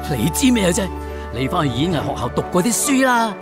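Pompous, animated film director. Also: female voice, on the low side, a little fast, music in the background. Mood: scornful. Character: pretentious, gushing film director, bossy. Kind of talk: radio drama acting.

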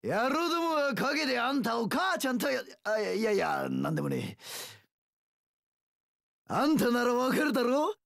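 An actor voicing a brash, rough-talking young pirate warlord in a video game, gravelly, with delinquent gang-boss speech and drawn-out battle cries. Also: male voice, very high-pitched, big pitch swings, fairly bright tone, dry recording, studio quality, full character voice. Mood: brash, animated. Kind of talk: video game character voice lines.

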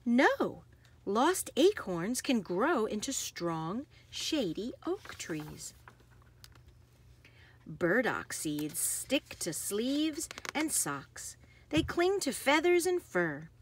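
A woman reads a children's picture book aloud expressively, with deliberate phrasing and emphasis on action words. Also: on the high side, big pitch swings, slight background noise. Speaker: read-aloud host.

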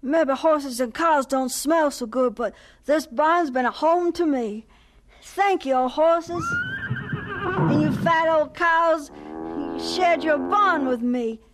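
Slow-talking, high-voiced schoolboy. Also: female voice, over music, poor audio. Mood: grateful. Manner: plaintive, folksy, halting. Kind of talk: radio drama acting.

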